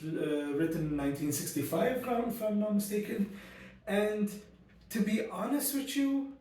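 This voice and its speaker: A man's voice: a little high, a little mellow, distant mic. A man giving a casual, ranty book review, full of fillers and restarts. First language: not Arabic; Arabic learned as second language. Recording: very echoey room, voice from off mic.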